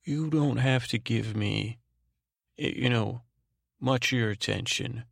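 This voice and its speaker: A man hosting a bedtime podcast in a meandering, halting monologue, often rephrasing himself, with a gravelly voice. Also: mid-range voice, no echo, clean studio sound. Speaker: podcast host. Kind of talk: rambling bedtime monologue and thank-you announcements.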